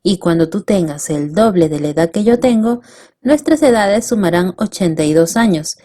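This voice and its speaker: A woman math teacher from Peru with a Peruvian Spanish accent, working through word problems step by step in a slow, explanatory way. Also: fairly low pitch, animated, mellow. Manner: slow, explanatory, reads problems aloud then works them through. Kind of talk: step-by-step problem solving lesson.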